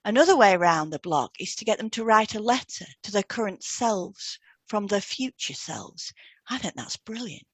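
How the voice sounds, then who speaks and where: A woman's voice: mid-range voice, lively intonation, moderate pace, fairly bright tone, breathy edge, dry recording. A woman co-hosting a podcast, reading scripted instructional narration. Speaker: podcast co-host, hypnotherapist.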